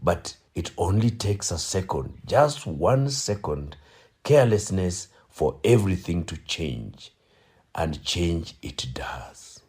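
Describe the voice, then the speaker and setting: A man's voice: low-pitched, very animated, slow pace, dark tone, slight background noise, heavily acted. A man playing a grave narrator, solemn and theatrical, delivering scripted radio drama narration. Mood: ominous. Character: grave, dramatic male narrator of a road tragedy.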